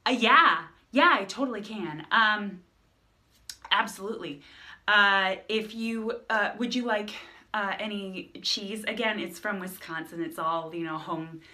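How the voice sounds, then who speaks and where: Woman playing a young female cashier, bright voice, hesitant, lots of ums, eager. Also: medium pitch, unhurried. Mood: awkward.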